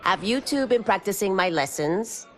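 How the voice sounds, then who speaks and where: A woman's voice: medium pitch, animated, moderate pace, fairly bright tone, natural voice. An actress playing a motherly innkeeper and martial arts teacher, stern but fond, scolding with affection, in a video game cutscene. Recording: game soundtrack music behind.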